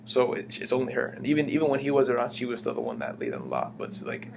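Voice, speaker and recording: A man's voice: medium pitch, sing-song, quick pace, creaky. A young man from the United States, talking in a rambling, casual way as the interviewee, full of fillers and restarts. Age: young adult. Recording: humming background noise.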